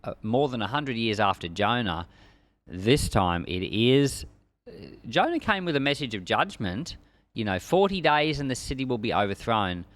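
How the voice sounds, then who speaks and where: A man's voice: mid-range voice, very animated, moderate pace, fairly bright tone. A man preaching and teaching from the Bible in an emphatic, conversational way. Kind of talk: Bible reading and informal expository sermon.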